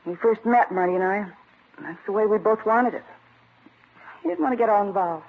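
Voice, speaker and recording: An American actress playing a married nightclub dancer, defensive and secretly in love, in 1950s radio police drama style. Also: female voice, medium pitch, fairly quick, natural voice. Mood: confessing, uneasy. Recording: noisy muffled old radio broadcast.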